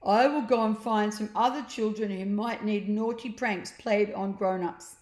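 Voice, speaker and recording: A woman's voice: mid-range voice, unhurried, a little mellow, natural voice. Woman playing a kindly slime creature, echoey sound. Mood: fond farewell. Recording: roomy, echoing sound.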